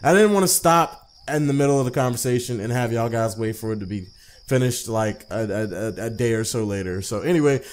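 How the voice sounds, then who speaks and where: A man's voice: medium pitch, a little fast. A man hosting a gaming video with casual let's-play commentary, slangy and jokey, often repeating himself. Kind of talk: casual let's-play commentary, reading a visual novel aloud.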